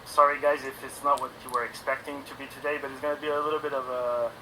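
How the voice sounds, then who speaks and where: A man in his forties with a French accent speaks in a loose, halting English, with ums and restarts all through. His voice sits in an ordinary middle range. A steady hiss runs under the stream audio. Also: mid-range voice, rough recording. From France. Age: 40s. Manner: hesitant, many ums and restarts. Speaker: animation guest on a stream. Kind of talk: casual interview talk.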